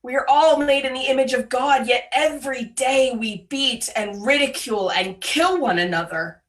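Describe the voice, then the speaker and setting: A woman voicing a grieving, devoted female disciple of Jesus in a dramatic worship monologue, sorrowful and deliberate, over a video call. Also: high voice, lightly acted. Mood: anguished. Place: remote, on a Zoom call. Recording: distant microphone, video call audio.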